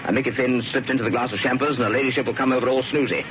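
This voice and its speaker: An actor playing a bossy, scheming British Major in an old-fashioned radio drama, brusque, commanding and easily irritated. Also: male voice, medium pitch, fairly even intonation, racing, close to an everyday voice, low-quality recording. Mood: smug, assured. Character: bossy, scheming, impatient male spymaster Major. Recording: radio recording with music behind.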